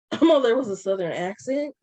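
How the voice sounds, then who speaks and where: A woman's voice: on the high side, expressive, a little slow, darkish tone. A woman co-hosting a casual TV recap chat, reacting emphatically and laughing often. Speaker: podcast co-host.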